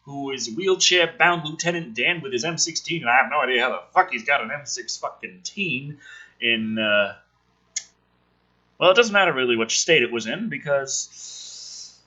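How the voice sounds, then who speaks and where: A man's voice: medium pitch, expressive, normal speed, bright, forceful tone, muffled recording. A man doing a casual dramatic script reading as host, with sarcastic, profane ranting asides. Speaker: host reading a film script aloud with commentary.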